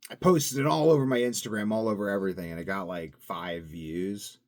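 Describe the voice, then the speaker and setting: A young man talking casually to camera, wandering from thought to thought and swinging between loud bursts and mumbled asides. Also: on the low side, fairly quick. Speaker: vlogger. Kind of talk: casual rambling monologue.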